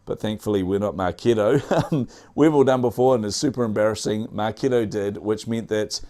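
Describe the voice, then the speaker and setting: A man's voice: on the low side, brisk, dark tone. A man with a New Zealand accent hosting a casual tech news show, chatty, with frequent fillers and dad jokes.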